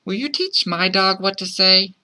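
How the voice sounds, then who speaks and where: A man's voice: high voice, normal speed, sharp tone, voice pushed up. A man voicing an earnest, worried young child in a storybook, pleading and calling out. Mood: eager, calling. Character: earnest, worried young child who loves their dog.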